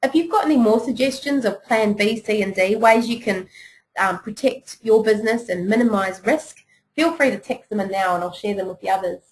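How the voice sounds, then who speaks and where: A woman with a New Zealand accent talks through a webinar on business preparedness. Her delivery is conversational and encouraging, dotted with small fillers as she goes, and her voice sits at an ordinary middle pitch. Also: a little fast, rough recording. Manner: chatty and encouraging, many "you know" and "um" fillers. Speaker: webinar presenter.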